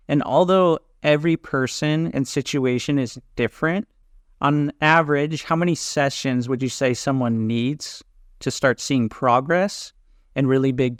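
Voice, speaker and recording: Male podcast host, mid-pitched, smooth clear voice, upbeat scripted delivery, dry close mic. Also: dry recording. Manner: upbeat, scripted questions and thanks. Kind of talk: podcast interview hosting.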